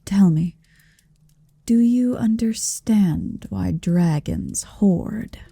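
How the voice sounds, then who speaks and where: A woman playing a possessive, centuries-old dragon in human form, tender yet commanding, in a soft, hushed ASMR roleplay that often slips into whispers. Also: medium pitch, no room sound, full character voice. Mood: intimate, intense. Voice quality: soft, breathy. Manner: slow, deliberate, hushed, often slipping into whisper. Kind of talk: ASMR roleplay, intimate second-person monologue.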